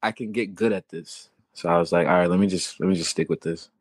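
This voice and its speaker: Low-voiced young man telling his story. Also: quick pace, breathy edge, no room sound, clean studio sound. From Florida, born in Haiti. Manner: relaxed storytelling, slangy. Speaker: guest rapper and songwriter. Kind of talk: casual podcast interview.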